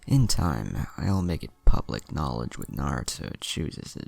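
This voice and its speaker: Man as a sly, deep old village leader. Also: sing-song, unhurried, creaky, a little mellow, exaggerated voice. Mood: wryly amused.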